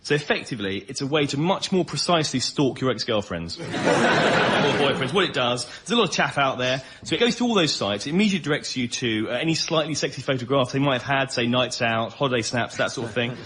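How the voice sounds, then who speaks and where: A man performing as a comedy panel guest, pitching comic ideas in a matter-of-fact, conversational way. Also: animated, a little fast. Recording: very noisy live show recording.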